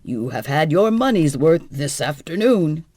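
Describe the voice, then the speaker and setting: A woman's voice: low voice, sing-song, measured pace, warm tone, dry recording, studio quality, full character voice. A woman doing an amused, easygoing husband. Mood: wry. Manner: cheerful, mildly teasing. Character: good-natured, amused middle-aged husband.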